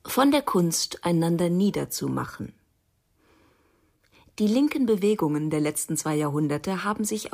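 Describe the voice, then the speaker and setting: A woman with a fairly low, husky voice narrates a literary radio piece. Her delivery is measured and dry, clearly read from a script. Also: a little mellow.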